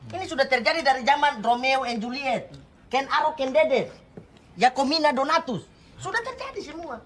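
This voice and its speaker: An actor playing an excitable, comically opinionated male laundry worker in a film comedy. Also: very high voice, normal speed, a little hiss or hum. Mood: animated, insistent.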